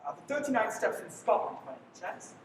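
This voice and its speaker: A young woman playing a skeptical gentleman drawn into intrigue in a live radio play, wry and theatrical. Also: on the high side, very animated, fast. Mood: casual, obliging. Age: young adult. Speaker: high school drama student acting. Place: live school performance, voice through a mask. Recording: echoey hall from far off, noisy livestream.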